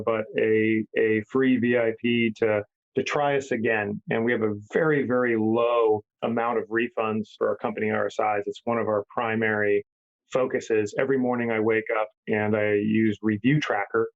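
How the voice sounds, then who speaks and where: Tour company guy talking customer feedback, lots of uhs, kinda muffled audio. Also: lowish voice. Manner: earnest, with frequent "uh"s and restarts. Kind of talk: casual podcast chat.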